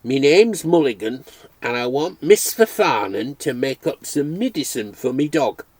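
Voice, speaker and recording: A man voicing a solemn, hard-of-hearing older man with a rich, unusual accent and plain, slow speech. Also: medium pitch, lively intonation, fairly bright tone, slightly rough, dry recording, exaggerated voice.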